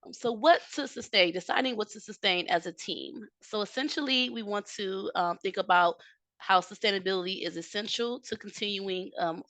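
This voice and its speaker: A woman presenting an online training session, a bit hoarse from a cold, explaining steadily with frequent "um" fillers. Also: mid-range voice, bright, forceful tone, no room sound, low-quality recording. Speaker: technical assistance presenter. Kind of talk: webinar presentation. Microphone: video call.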